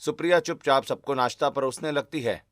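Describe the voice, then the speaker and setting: A man giving scripted narration for an animated family story as its storyteller. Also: mid-range voice, a little fast.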